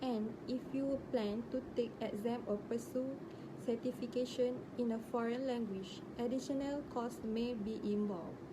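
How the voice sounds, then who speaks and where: A woman's voice: fairly high pitch, moderate pace. Malaysian woman reading a presentation. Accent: Malaysian English. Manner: steady, read-aloud delivery. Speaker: student presenter. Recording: steady background hiss.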